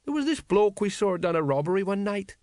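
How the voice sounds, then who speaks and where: A man's voice: a little high, brisk, no room sound, clean studio sound, pitched above the performer's own voice, lightly acted. A man voicing a wistful, miserable older watch sergeant who rambles through his memories in an audiobook. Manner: miserable, rambling working-class storyteller. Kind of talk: audiobook character dialogue.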